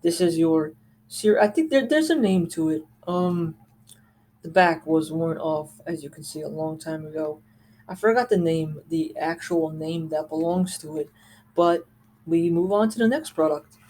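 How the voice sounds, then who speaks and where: Casual gadget reviewer, mellow relaxed voice, kinda rambles, bit of noise behind. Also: mid-range voice, unhurried. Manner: conversational, rambling with asides. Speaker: host reviewing a radio collection. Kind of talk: casual product show-and-tell.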